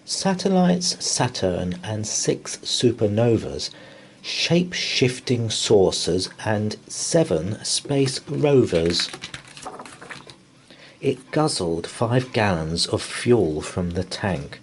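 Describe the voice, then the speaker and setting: A man reading a children's bedtime story aloud in rhyme, with an expressive, theatrical delivery. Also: lowish voice, takes it slowly, a little hiss or hum.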